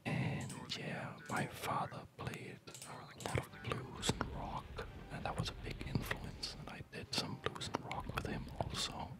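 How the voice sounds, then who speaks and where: A man whispers breathily and haltingly in an unhurried ASMR chat while playing a game, close to a studio microphone at home. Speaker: ASMR gamer. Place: quiet home at night. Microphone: close studio condenser microphone.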